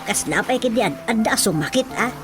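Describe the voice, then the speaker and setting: A woman's voice: a little high, animated, normal speed, over music, lightly acted. Woman acting chatty superstitious Ilocano village mother. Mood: earnest storytelling. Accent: Filipino, Ilocano. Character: chatty superstitious village mother who believes in folk healing. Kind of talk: radio drama acting.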